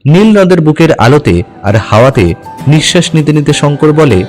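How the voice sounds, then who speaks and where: A man's voice: on the high side, big pitch swings, moderate pace. A man narrating a scripted adventure story dramatically. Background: music.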